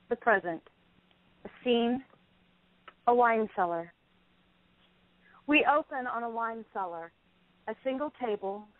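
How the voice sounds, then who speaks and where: A woman's voice: mid-range voice, dry recording. A woman reading stage directions as scripted narration for a play. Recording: tinny narrowband phone-like line.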